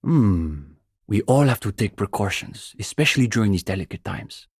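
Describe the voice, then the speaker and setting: An actor playing a pompous, earnest young communist student in a video game, a bookish zealot who talks in precise, lecturing phrases. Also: male voice, on the low side, animated, moderate pace, husky, no room sound, clean studio sound. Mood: guarded, skeptical. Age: young adult. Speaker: student leader of a revolutionary reading group. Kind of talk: video game character dialogue.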